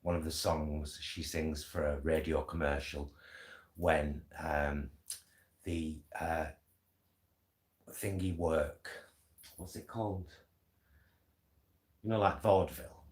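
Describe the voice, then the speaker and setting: A man's voice: extremely low pitch, fairly even intonation, slow pace, pauses often. A British man chatting casually in a rambling, hesitant way, as a vlogger talking at home. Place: indoors at home. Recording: echoey room.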